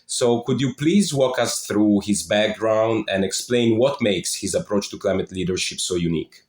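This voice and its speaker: Low-voiced male podcast host, echoey room. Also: moderate pace, darkish tone. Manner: reads a formal welcome, then asks questions politely. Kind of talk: scripted podcast introduction and interview.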